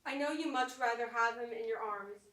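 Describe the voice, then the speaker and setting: A woman's voice: a little high, a little fast, a bit bright, far from the mic, natural voice. A woman playing a grieving, bitter mother in a staged reading of a play, with a dramatic, accusatory delivery. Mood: sorrowful. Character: grieving, bitter mother lashing out at her husband. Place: small theatre, live stage performance. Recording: echoey theatre, picked up from afar.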